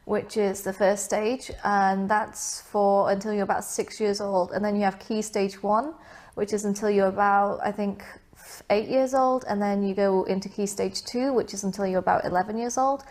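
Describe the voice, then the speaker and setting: A woman's voice: medium pitch, fairly even intonation, moderate pace, darkish tone. A young woman from Vietnam, raised abroad, answering interview questions in a chatty, casual way with many fillers and asides.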